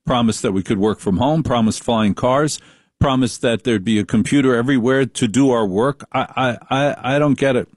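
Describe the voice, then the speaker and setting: A man hosts a late-night talk radio show with dry, joking banter. His voice sits at a middling pitch and sounds close and dry, with no room around it. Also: moderate pace, dry recording.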